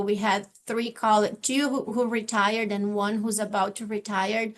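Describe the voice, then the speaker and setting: A woman's voice: on the high side, fairly bright tone. A woman from Brazil speaks with a Brazilian Portuguese accent over a video call. She talks in an earnest, conversational way, full of fillers and self-corrections. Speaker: office manager in a tech transfer office. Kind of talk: virtual panel discussion.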